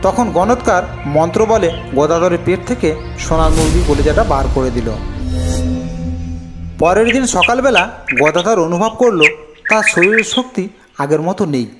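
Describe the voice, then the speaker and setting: A man's voice: high-pitched, expressive, a little slow, long pauses, over music. A man narrating a scripted fairy tale for a cartoon as the storyteller.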